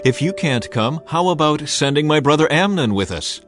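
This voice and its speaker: A man's voice: fairly high pitch, lively intonation, normal speed, over music, pitched above the performer's own voice, lightly acted. A man voicing a scheming, vengeful young prince, insistent and persuasive, in a dramatized scripture reading. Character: determined, scheming young prince, brother seeking revenge.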